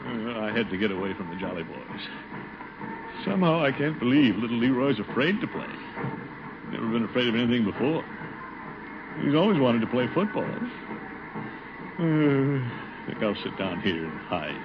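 A man's voice: mid-range voice, sing-song, normal speed, long pauses, close to an everyday voice. An actor playing a flustered, blustery middle-aged uncle and committee chairman in a theatrical old radio comedy. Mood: uneasy. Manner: theatrical, exclamatory, chuckling. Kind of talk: 1940s-50s radio situation comedy acting. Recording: noisy, muffled old radio recording.

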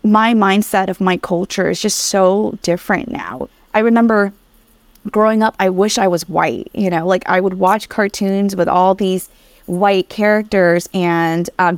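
A woman's voice: mid-range voice, measured pace, no room sound. A woman from Vietnam speaking earnestly and reflectively as an interview guest about personal experience. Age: adult. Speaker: interview guest, career coach.

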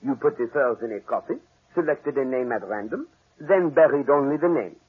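An actor playing a shrewd, courteous detective with a French accent and a precise, theatrical manner in a 1940s radio drama. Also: male voice, mid-range voice, expressive, normal speed, slightly rough. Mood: shrewd, politely confident. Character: shrewd, polite French-speaking male detective. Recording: muffled, tinny old radio recording.

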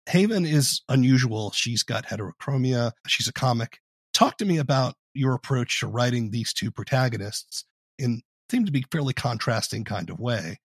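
A man interviewing on a podcast, explaining and commenting in a conversational, measured way. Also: medium pitch, animated, husky, no room sound.

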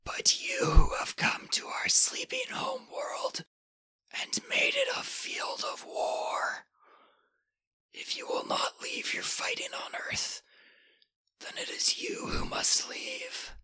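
A man voicing an ancient gray Martian creature in a slow, solemn, rasping whisper. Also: very high voice, completely flat delivery, sharp tone, voice pushed up, full character voice, rough recording. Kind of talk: audiobook character voice.

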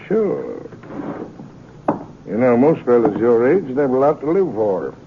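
A man's voice: very animated, a little slow, noisy background. A man acting a mocking, menacing American gunslinger in a 1950s radio western. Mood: taunting, cool. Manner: mocking, taunting. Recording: tinny, rumbly 1950s radio recording.

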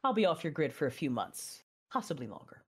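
A woman's voice: deep voice, very animated, a little fast, a little hiss or hum. A woman acting as an intense, passionate Jedi knight, narrating her own story in a confessional, introspective audio drama style. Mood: teasing, tender. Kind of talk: first-person audio drama narration with dialogue.

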